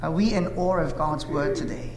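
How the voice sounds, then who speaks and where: A man in his thirties from South Korea, whose first language is Korean, preaching a sermon with an earnest, measured delivery and frequent "uh" fillers. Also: fairly high pitch, a little mellow. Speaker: pastor. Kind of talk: sermon with opening prayer. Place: church sanctuary. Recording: echoey hall reverb, faint room noise.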